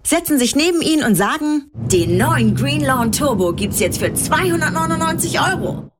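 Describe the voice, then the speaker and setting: A woman's voice: high voice, sing-song, normal speed, very noisy. A woman narrating a scripted comedic radio ad in a wry storytelling voice.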